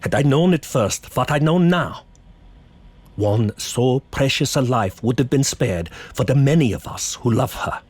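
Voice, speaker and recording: An actor playing a grave older professor who hunts vampires, speaking in solemn, deliberate oratory in a horror audio drama. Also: male voice, mid-range voice, lively intonation, a little mellow, husky. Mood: grave, urgent. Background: music.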